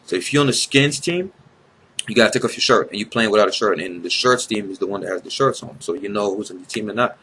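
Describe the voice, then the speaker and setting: A man's voice: fairly low pitch, fast talker, bright, forceful tone, slight background noise, rough recording. A tired young man from Ivory Coast, a native French speaker, telling a casual story to his viewers with blunt swearing and rambling asides. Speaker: vlogger.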